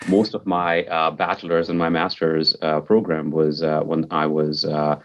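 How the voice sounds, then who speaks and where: Very deep-voiced man, upbeat and earnest. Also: flat delivery, measured pace, lo-fi sound. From Mumbai, India. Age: 40s. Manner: upbeat, earnest, emphatic repetition. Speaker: podcast guest, technology executive. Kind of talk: conversational interview answers.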